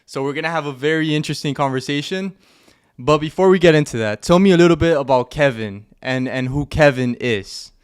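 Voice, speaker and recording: A man's voice: a little high, brisk, clear, resonant tone. A man hosting a podcast, interviewing a guest with friendly, encouraging questions. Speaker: podcast host.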